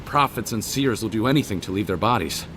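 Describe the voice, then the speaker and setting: A man's voice: lowish voice, expressive, fairly quick, background noise, lightly acted. A man voicing an anxious, hesitant merchant in a video game, stammering and fearful. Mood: nervous, pleading. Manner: nervous, hesitant.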